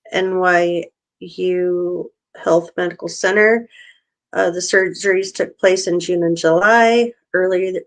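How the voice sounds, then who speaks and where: A woman's voice: fairly low pitch, poor audio. A woman co-hosting a casual vlog who rambles with lots of fillers, then reads a news story aloud. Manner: rambling, filler-heavy, squeamish. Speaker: co-host.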